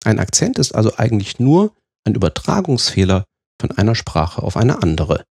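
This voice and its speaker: Gravelly, lively German man explaining. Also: fairly low pitch, no room sound, studio quality. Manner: measured, explaining step by step. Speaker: presenter. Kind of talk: scripted explanatory narration.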